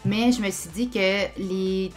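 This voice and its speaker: A woman's voice: mid-range voice, fairly even intonation, slow, faint music behind. A young woman with a Québécois French accent, chatting casually over her game footage with lots of fillers and restarts. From Quebec. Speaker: YouTuber.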